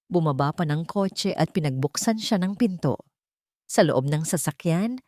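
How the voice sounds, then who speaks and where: A woman narrating a scripted dramatic story in a solemn, emotive, measured way. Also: deep voice, no echo, clean studio sound.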